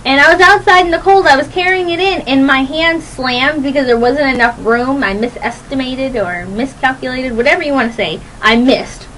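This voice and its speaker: A young woman hosting a radio show, rambling casually to listeners in a chatty, playful, animated and giggly way. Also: sits high, moderate pace, hardly any pauses, slight background noise, rough recording. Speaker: radio host.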